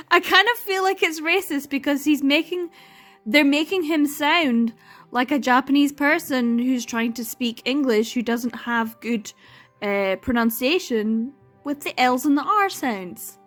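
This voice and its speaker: A woman's voice: sits high, a little slow, a bit bright, faint music behind. A young woman in a casual reading vlog, talking through a manga with exasperated sighs, laughs and dramatic repetition. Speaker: book vlogger.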